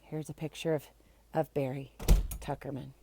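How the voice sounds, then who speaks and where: A woman's voice: low voice, little pitch movement, normal speed, gravelly, mellow, a little hiss or hum. A woman reading a children's book aloud, expressively acting out the characters and adding short explanatory asides. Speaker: storybook reader.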